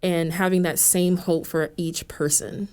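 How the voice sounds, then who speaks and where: The woman guest on a faith discussion panel, choosing words carefully in a smooth, resonant voice. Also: fairly low pitch, fairly even intonation, a little slow, a little mellow. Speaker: church outreach coordinator, panel guest. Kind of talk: reflective conversation.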